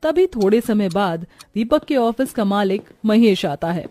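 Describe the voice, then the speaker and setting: A woman's voice: medium pitch, expressive, unhurried, mellow. A woman reading scripted narration for a moral story, as its storyteller.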